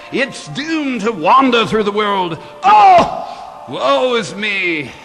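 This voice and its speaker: A man in a live radio drama playing the tormented ghost of a dead miser, mournful and theatrical, with a gravelly voice. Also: high voice, sharp tone, heavily acted. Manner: slow, mournful, theatrical wailing and declaiming. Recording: long hall reverb with music behind.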